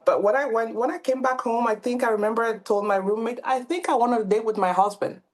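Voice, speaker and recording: A woman's voice: on the high side, fairly even intonation, fast. A woman from Cameroon in her thirties, a podcast guest talking casually with many fillers and restarts. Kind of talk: casual interview talk.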